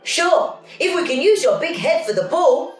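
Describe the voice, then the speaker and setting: A feisty, self-conscious storybook girl, played by a woman reading aloud, speaks in exclamations with a high, swooping voice. The room is echoey. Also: very animated, moderate pace. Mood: defiant. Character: tall, sassy, self-conscious young girl dancer. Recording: echoey room with music underneath.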